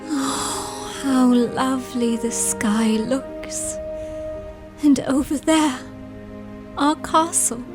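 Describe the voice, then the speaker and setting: A woman's voice: high voice, extremely slow. A woman playing a passionate, dying young woman in a melodramatic audio drama, pleading and yearning. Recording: dramatic music behind the voice. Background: dramatic music.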